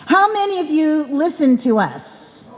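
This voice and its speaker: A woman's voice: high voice, big pitch swings, a little slow. A woman announcer giving a prepared welcome speech to an audience at a live show. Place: indoor stage in a club hall. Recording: reverberant hall, live stage event.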